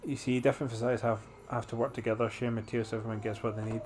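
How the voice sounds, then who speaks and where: A man's voice: mid-range voice, level tone, rapid-fire, background noise. A man hosting a gameplay video, commenting in an offhand, jokey way and reading game text aloud. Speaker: gaming video host.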